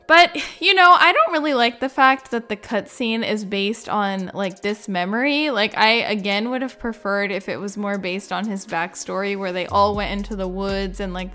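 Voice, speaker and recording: A woman's voice: medium pitch, a bit bright. A woman giving casual reaction commentary in an informal, chatty way, with frequent use of "like". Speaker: gaming video creator.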